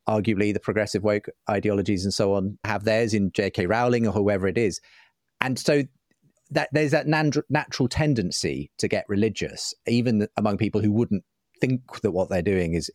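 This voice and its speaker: Male interview guest, fairly low pitch, articulate and thoughtful delivery, dry close sound. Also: a little mellow, dry recording. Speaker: podcast guest, writer and broadcaster. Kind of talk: conversational interview.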